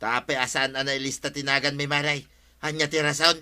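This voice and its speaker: A man's voice: medium pitch, flat delivery, fairly quick, sharp tone, smooth. A man playing an aggrieved, hot-tempered villager in an Ilocano comedy radio drama. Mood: indignant.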